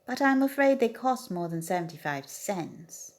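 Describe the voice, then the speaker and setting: A woman's voice: fairly low pitch, very animated, normal speed, darkish tone. A woman voicing an earnest, secretive male cat in a children's storybook, speaking expressively. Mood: pondering. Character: earnest, secretive young male cat, thoughtful gift-giver.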